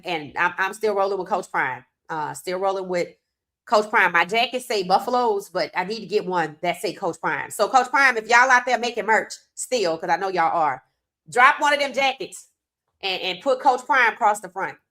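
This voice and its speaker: A woman's voice: medium pitch, animated, normal speed, pushed and bright. Congested woman hosting a sports podcast. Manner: chatty, loose and conversational, talking straight to viewers. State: congested from a cold. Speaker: podcast host. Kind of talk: casual sports commentary.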